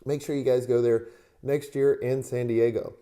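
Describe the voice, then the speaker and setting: Hoarse man giving upbeat business advice. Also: medium pitch, flat delivery, normal speed, mellow. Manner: upbeat motivational coaching, talks straight to listeners. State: hoarse, recovering from a lost voice. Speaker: podcast host. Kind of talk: solo advice podcast.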